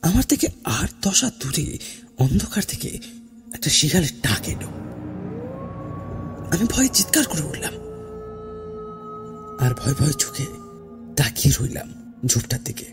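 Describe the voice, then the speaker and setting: A man narrating a first-person horror story in a theatrical, suspenseful way, with dramatic pauses and hushed phrase endings. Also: fairly high pitch, big pitch swings. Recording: voice over background music. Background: music.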